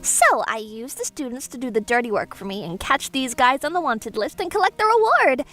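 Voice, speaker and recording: A woman playing a self-righteous, greedy and excitable female teacher in a manga dub, with a theatrical, gushing delivery. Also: high-pitched, a little fast, faint music behind. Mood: smug, scheming.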